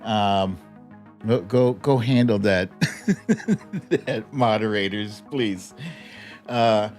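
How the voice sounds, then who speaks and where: A man's voice: lowish voice, drawn-out words, over music. A man hosting a live news commentary show in an informal, wry, comedic way, often chuckling as he talks. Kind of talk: casual comedic political news commentary livestream.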